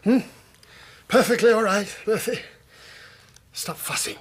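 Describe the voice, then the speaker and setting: An actor playing a proud, blustering older English colonel in a radio drama, with a hearty, pompous military manner of telling things. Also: male voice, sits high, unhurried, husky. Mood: gruff, brisk. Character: proud, blustering older British army colonel, doting husband.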